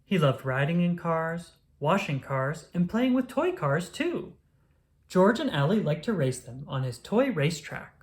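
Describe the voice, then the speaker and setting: A woman reading a children's story aloud, with expressive, deliberate phrasing for young listeners. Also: lowish voice. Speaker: storybook reader. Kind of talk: children's read-aloud narration.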